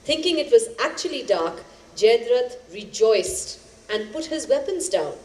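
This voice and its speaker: Stage play: spirited epic narrator, animated pitch, echoey room with faint noise. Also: female voice, unhurried. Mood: dramatic. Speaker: stage actor in a two-hander play. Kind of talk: theatrical storytelling of epic tales.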